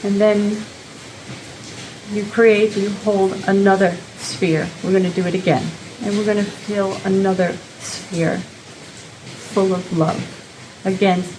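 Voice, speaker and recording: A woman leading an informal guided meditation, speaking gently in short, unhurried phrases with pauses between them. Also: medium pitch, gravelly, background noise.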